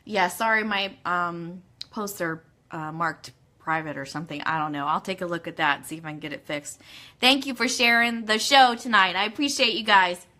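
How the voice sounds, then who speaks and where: A woman's voice: lowish voice, moderate pace, bright, forceful tone. A woman hosting an online radio show in a chatty, bubbly, informal way, moving between news items and greetings to listeners. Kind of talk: chatty livestream hosting with news read-outs. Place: Orlando, Florida.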